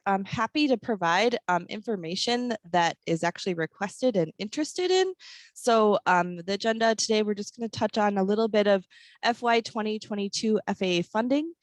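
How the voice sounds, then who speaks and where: A woman's voice: a little high, dry recording. A woman in her thirties with a froggy, scratchy voice from a cold, presenting a webinar over a video call with plenty of ums. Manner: friendly, many ums. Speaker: airport district office manager presenting a webinar. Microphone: computer mic on a video call.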